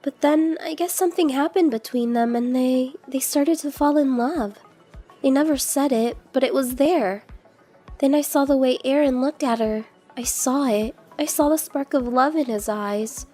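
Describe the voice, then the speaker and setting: A woman voicing a cute, earnest young woman in an animated roleplay series, sentimental and obsessed with matchmaking her friends. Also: sits high, normal speed. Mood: wistful, lovestruck. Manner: earnest, wistful, sentimental confession. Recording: music behind the voice.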